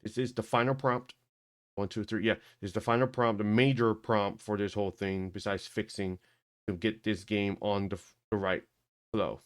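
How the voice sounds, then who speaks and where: A man hosting a tech video, chatting casually and thinking aloud as he tests a game, often halting and correcting himself. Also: on the low side, little pitch movement. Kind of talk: casual commentary while testing a game.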